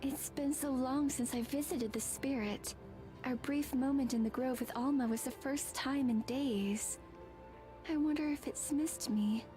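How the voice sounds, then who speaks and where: Gentle forest girl, high voice, anxious, protective, earnest, soft hesitant delivery, visual novel, music underneath. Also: unhurried. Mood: wistful, tender. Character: Abigail, gentle young forest-bound woman, protective and anxious.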